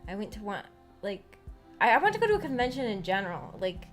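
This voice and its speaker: An American woman streamer chatting casually in a rambling, halting, giggly way. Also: mid-range voice, sing-song. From United States. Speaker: streamer, visual novel book club host. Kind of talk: casual livestream chat. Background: background music.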